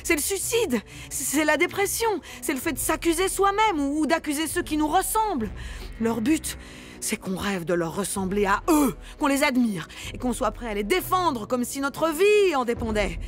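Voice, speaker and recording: An angry woman worker in a radio play. Her voice sits on the high side and she speaks with militant, bitter passion. Soft music runs behind her. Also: animated, moderate pace. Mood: indignant, bitter. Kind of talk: radio fiction monologue.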